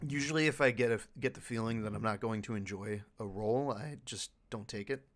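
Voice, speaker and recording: A man's voice: lowish voice, brisk, a bit bright. A man answering casually and a little hesitantly as a voice actor on an online panel. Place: remote voice chat. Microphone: microphone on a stand.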